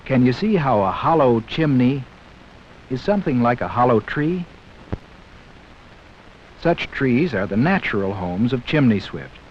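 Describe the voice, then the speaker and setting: A man narrating a scripted 1960s educational film in a measured, explanatory way, speaking directly to young viewers. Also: mid-range voice, very animated. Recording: dull, hissy 1960s 16mm film soundtrack.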